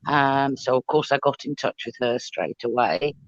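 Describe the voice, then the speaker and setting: A man's voice: medium pitch, expressive, moderate pace, dry recording, lo-fi sound. A man chatting casually on an online panel, friendly, with laughs between phrases. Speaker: panel guest.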